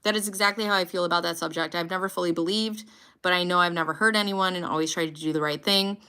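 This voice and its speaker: A woman in her thirties from the United States, chatting on a livestream in a loose, rambling way with plenty of swearing. Her voice is bright and forward, sitting a little low for a woman. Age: 30s. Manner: chatty, swearing, laughing often. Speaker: livestream host. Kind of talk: casual livestream chat reading comments.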